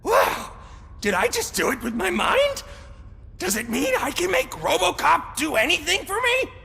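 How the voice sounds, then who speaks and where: A man acting a gleeful, taunting, unhinged creep in a video game, excited and mocking. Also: very high-pitched, measured pace, creaky, in a large hall, heavily acted.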